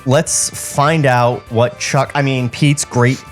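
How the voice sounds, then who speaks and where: Man, medium pitch, irreverent, mocking, casual podcast chat and script read-outs. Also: steady tone, slow, music in the background. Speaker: podcast host. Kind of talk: casual commentary and script reading.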